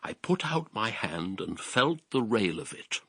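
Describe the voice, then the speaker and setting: An actor playing an elderly, wry English writer with a creaky voice, reminiscing in a radio drama. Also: male voice, lowish voice, big pitch swings, unhurried, a bit bright, full character voice. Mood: reflective. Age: older. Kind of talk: radio drama acting and narration.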